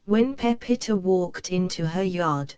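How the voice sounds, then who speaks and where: A woman reading a children's picture book aloud, slow and careful for young learners. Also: lowish voice, a little mellow, a little hiss or hum. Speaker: storybook reader.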